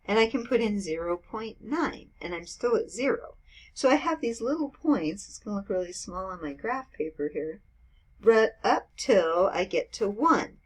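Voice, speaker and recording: A woman's voice: mid-range voice, animated, normal speed, breathy edge, poor audio. A woman in her fifties teaching math in a casual screen-recorded lecture, explaining with everyday examples and checking in with "okay" and "right". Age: 50s.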